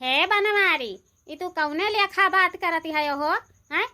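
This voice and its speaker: A woman's voice: extremely high pitch, unhurried, bright, forceful tone, full character voice. A woman voicing a defiant village woman in a cartoon, challenging and insistent, with an Awadhi accent. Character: defiant village woman confronting her lover. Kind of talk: amateur cartoon voice acting.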